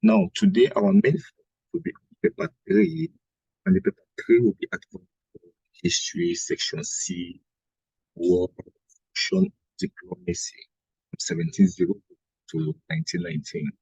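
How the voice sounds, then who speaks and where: Animated Cameroonian man teaching, slightly husky. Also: on the high side, moderate pace, long pauses, no echo, muffled recording. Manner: didactic, repeats phrases for emphasis, tags sentences with "right?". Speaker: history teacher. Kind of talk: exam revision lesson.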